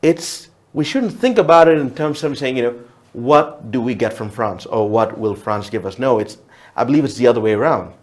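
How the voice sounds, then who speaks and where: A man's voice: mid-range voice, expressive, normal speed. A man from Uganda whose first language is Luo, speaking reflectively as a TV talk show guest, often restarting and repeating words.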